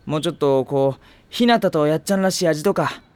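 A man's voice: on the high side, lively intonation, a little fast, a little mellow, smooth, no room sound, lightly acted. An actor playing a kind, teasing older teenage boy who talks in an easygoing, reassuring way in an anime drama CD.

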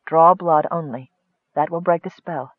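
A man voicing a serene, detached goddess, speaking softly and solemnly in a fantasy audiobook. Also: high-pitched, no echo, phone-quality sound, natural voice. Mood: gentle firmness. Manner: soft, measured, solemn.

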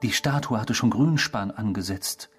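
A man's voice: fairly low pitch, moderate pace, music in the background, natural voice. A male actor in a German radio drama playing an intrusive, obsessive stranger who talks insistently and theatrically. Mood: brooding, reminiscing. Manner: insistent, theatrical, probing, swinging from hushed to emphatic.

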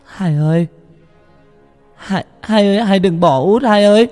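Man acting a terrified child, high wailing cries. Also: a little fast, a little mellow, faint music behind, lightly acted. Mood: wailing grief. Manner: stammering, wailing, pleading. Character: frightened young child crying out for father and sister.

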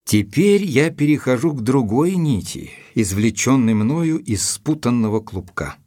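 An actor playing an earnest, mildly proud gentleman assistant to a detective, telling the story in a measured, literary way in an audio drama. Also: mid-range voice, dry recording, clean studio sound, lightly acted.

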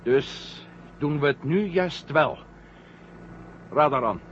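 A headstrong astronaut in a vintage radio play. His voice sits high for a male, and he talks in a commanding, impatient way. The old recording is dull, with clear noise over it. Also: lively intonation, takes it slowly, fairly bright tone. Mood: determined. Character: headstrong, curious male astronaut on a moon mission. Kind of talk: 1970 Dutch radio drama acting.

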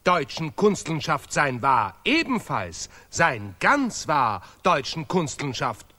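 A man's voice: on the high side, sing-song, pushed and bright, exaggerated voice. A man playing a self-important laureate professor in a radio play, declaiming obsessively repeated broken German. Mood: righteous. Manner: declamatory, obsessively repetitive, deliberately ungrammatical.

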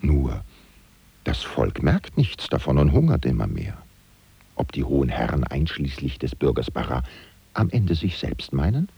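An actor playing a wry, gossiping French valet who confides ironically in the listener as narrator of a German radio play. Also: male voice, rumbling low, big pitch swings, measured pace, gravelly, warm tone, husky, no room sound, exaggerated voice. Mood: wry, bewildered. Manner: confiding, ironic, rhetorical questions.

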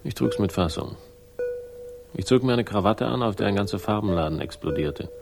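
An actor playing a wry, world-weary private investigator who narrates a crime radio drama in a deadpan, sardonic way. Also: male voice, lowish voice, fairly quick, gravelly, music in the background. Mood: dry irony.

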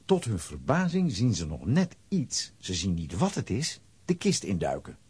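Animated, husky male storyteller. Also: lowish voice, moderate pace. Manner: expressive storytelling. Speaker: narrator. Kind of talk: dramatic scripted story narration.

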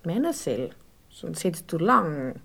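An actress playing a coy, naive young Viennese sweetheart in a radio play, pouting and often hushed, with a Viennese accent. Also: female voice, deep voice, sing-song, a little slow, gravelly, husky, full character voice. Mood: coy, hurt. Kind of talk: naturalistic radio play dialogue.

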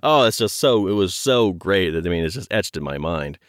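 A man with a lowish, very animated voice, recorded dry and close. He chats casually as a nostalgic guest, acting out little reactions as he goes. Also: brisk, dry recording. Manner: animated, acts out remembered reactions. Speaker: guest, audio drama producer and longtime fan. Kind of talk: casual reminiscing fan chat.

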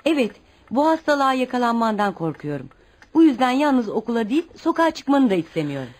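A woman acting an anxious, expressive female character in a Turkish radio play, emotive and theatrical. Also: a little high, a little fast. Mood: worried.

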